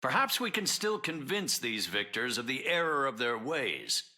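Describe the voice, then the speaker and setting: A brainy superhero scientist from a video game. He is composed and formal, with a crisp bright tone and lively rises and falls at a middle pitch. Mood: composed, analytical. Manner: measured, articulate, formal. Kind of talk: video game hero banter.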